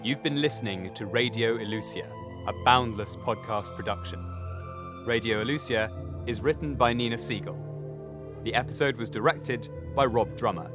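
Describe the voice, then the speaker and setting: A man reading scripted podcast credits as an announcer. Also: mid-range voice.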